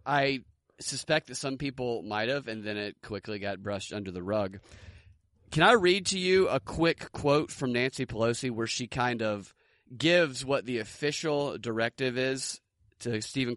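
American man with dry, mid-pitched voice. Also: expressive, moderate pace, a bit bright, no echo. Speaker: podcast co-host. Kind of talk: casual political commentary.

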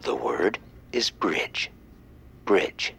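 A man playing a solemn quiz show announcer in an audio comedy, hushed and deliberate. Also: deep voice, sing-song, vocal fry, husky, full character voice.

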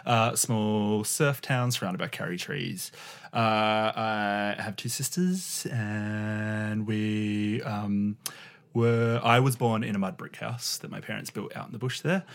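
A young Australian man talks as a guest in a radio studio, his low voice carrying a gravelly edge. He is hesitant, dotting his sentences with ums and uhs, and pokes fun at himself. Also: fairly even intonation, slow. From Western Australia. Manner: hesitant, many ums and uhs, self-deprecating. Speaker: guest, arts curator. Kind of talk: casual interview chat.

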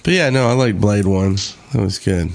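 A man's voice: fairly low pitch, moderate pace, creaky, darkish tone, a little hiss or hum. A man chatting casually as a podcast regular, in a joking, teasing manner. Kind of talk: casual chat. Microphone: Skype call.

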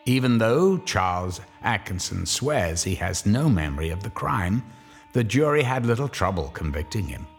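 A man introduces and closes a horror radio story with ominous, theatrical narration. His voice sits low and rises and falls dramatically. Faint music runs underneath. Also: big pitch swings, moderate pace. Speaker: host and narrator. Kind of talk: scripted horror anthology narration. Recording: studio narration over music.

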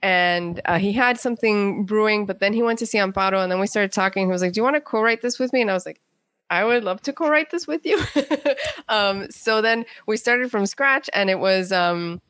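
A woman's voice: mid-range voice, brisk, a bit bright, no echo. Chatty woman writer with a dry, close sound. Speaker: guest playwright and writer. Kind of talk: casual interview conversation.

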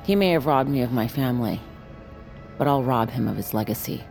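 Very deep-voiced bitter woman. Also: a little fast, gravelly, darkish tone, faint music behind. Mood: cold resolve. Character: bitter, grieving woman bent on erasing a man's legacy. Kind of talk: scripted audio drama acting.

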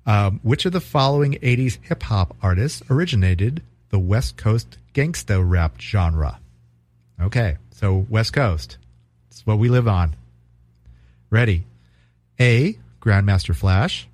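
Man, podcast co-host, casual chat, halting restarts, low voice, dry close sound. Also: no room sound. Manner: relaxed, halting, reads quiz cards aloud.

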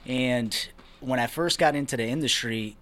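A young man from Brazil, a real estate investor, talking as a guest in a relaxed podcast conversation, peppering his talk with "man" and "you know". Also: medium pitch, normal speed. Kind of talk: casual interview talk.

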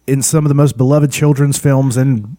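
A man's voice: medium pitch, steady tone, normal speed, warm tone, no room sound. A man co-hosting a casual podcast, chatting loosely and laughing often at his own jokes. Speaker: podcast co-host.

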